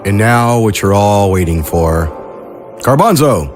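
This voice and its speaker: A man hosting a fiction podcast, reading a scripted intro in a measured, announcer-like way. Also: on the low side, lively intonation, soft background music. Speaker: podcast host.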